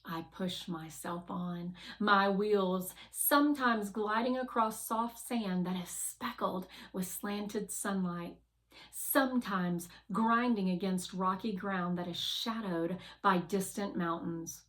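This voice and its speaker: A woman narrating a children's novel aloud in an expressive, deliberate read-aloud style. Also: medium pitch.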